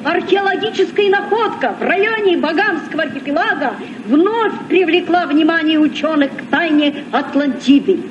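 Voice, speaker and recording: An actor playing an eager youthful ship's recorder, declaiming clearly in a vintage radio play. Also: very high-pitched, moderate pace, few pauses, far from the mic. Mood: earnest. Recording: muffled, noisy old Soviet radio recording.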